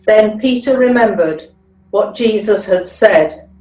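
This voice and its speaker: A woman's voice: mid-range voice, takes it slowly, a little mellow, faint music behind. A woman narrating a scripture reading as a church member reader. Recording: echoey room.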